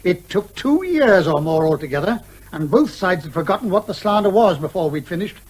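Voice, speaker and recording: An actor playing an exasperated, blustering older British major with old-fashioned turns of phrase, in a radio comedy drama. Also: male voice, high voice, animated, a little fast, darkish tone, slightly rough, poor audio. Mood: exasperated, resigned.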